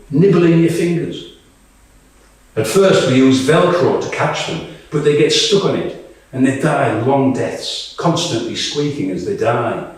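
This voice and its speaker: A man's voice: medium pitch, natural voice. A man reading the part of a weary, blunt woman soldier in a dramatic monologue, wry, conversational and sometimes profane. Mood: disgusted, wry. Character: Tonya, weary, blunt female Ukrainian soldier. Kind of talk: dramatic monologue read to a live audience. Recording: very echoey room, distant microphone.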